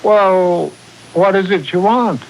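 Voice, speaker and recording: High-voiced, stammering, timid druggist. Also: male voice, slow, poor audio. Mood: puzzled, hesitant. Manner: stammering, hesitant. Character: timid, nervous small-town druggist, easily rattled. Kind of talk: 1940s radio serial acting. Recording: hissy 1940s radio broadcast.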